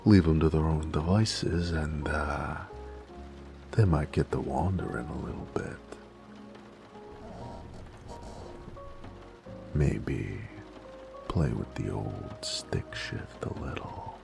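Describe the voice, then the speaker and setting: A man performs a flirty, cocky mafia driver in a noir romance audio drama, American and full of teasing street slang. The voice sits extremely deep with heavy gravel and fry. Music plays clearly behind the intimate talk. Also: expressive, slow pace, exaggerated voice. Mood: bored, teasing. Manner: teasing, slangy tough-guy drawl. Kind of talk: romantic noir audio roleplay, intimate one-sided dialogue.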